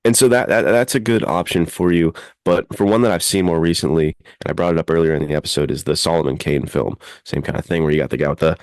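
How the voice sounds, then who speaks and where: A man's voice: very deep voice, fast, hardly any pauses, dry recording, studio quality. A man co-hosting a podcast in casual chat, informally and enthusiastically recommending things. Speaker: podcast co-host.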